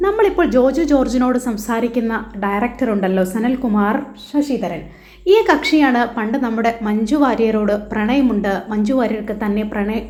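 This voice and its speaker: High-voiced Malayali woman in an echoey room. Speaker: YouTube commentator and host. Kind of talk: informal news commentary to viewers. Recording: echoey, roomy voice-over.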